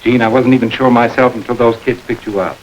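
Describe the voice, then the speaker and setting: An actor playing a firm detective who speaks bluntly and steadily, in a 1940s film. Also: male voice, medium pitch, steady tone, fast talker, slight background noise, natural voice, rough recording. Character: firm male detective, adult.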